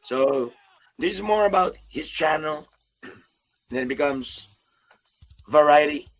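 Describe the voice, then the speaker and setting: A man's voice: a little high, lively intonation, takes it slowly. A man hosting a casual interview over a video call, friendly and rambling, laughing often. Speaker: YouTube host, interviewer.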